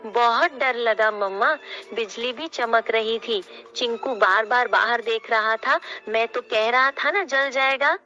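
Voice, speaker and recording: A woman voicing a frightened, frail little bird child in an animated children's story, with plaintive delivery. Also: on the high side, takes it slowly, muffled recording, faint music behind.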